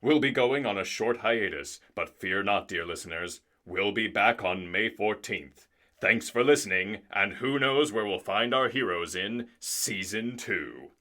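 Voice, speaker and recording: A man playing a flustered, theatrical radio drama narrator, halting and dramatic as he pleads. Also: lowish voice, expressive, bright, forceful tone. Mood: resigned announcing.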